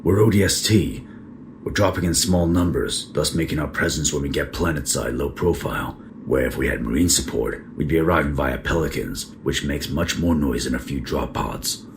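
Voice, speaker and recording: A man acting a stern space-military captain with an extremely deep, gravelly voice. He is guarded and official, in an audio drama with faint noise under the voice. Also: moderate pace. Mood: stern, brusque.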